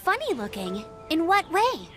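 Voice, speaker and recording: A woman playing an earnest, brisk young female knight scout in an anime game. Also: very high voice, huge pitch swings, music in the background, exaggerated voice. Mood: inquiring.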